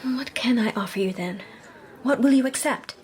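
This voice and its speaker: A woman acting as a young society lady who works as a detective in a radio mystery. She speaks with refined, heartfelt earnestness and a proud, loyal streak, at a middling pitch. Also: normal speed, a little mellow, natural voice. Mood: urgent concern.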